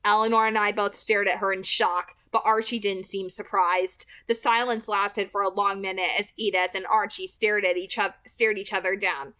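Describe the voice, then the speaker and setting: A woman giving an expressive, dramatic read-aloud narration of a novel, with emphatic phrasing. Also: mid-range voice, moderate pace, few pauses. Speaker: amateur audiobook reader.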